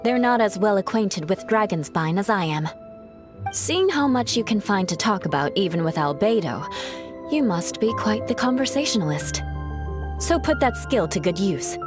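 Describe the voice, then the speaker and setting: Woman playing a proud, animated noblewoman warrior. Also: medium pitch, moderate pace, lightly acted. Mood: self-assured. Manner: poised, formal, haughty. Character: proud, haughty, self-reliant young noblewoman warrior. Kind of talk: fantasy video game dialogue. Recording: game audio with faint music.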